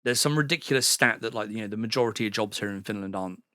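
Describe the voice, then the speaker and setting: Bright-voiced man from the UK. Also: on the low side, racing, dry recording. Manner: rambling, with many fillers and restarts. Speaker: podcast guest. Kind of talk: casual chat. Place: sauna house.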